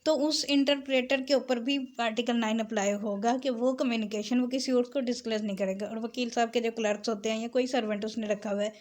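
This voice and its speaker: Female law lecturer, Pakistani accent, higher-pitched voice, reads legal text and explains it in a bilingual teaching style. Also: fairly even intonation, brisk, hardly any pauses, darkish tone. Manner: switches between Urdu and English.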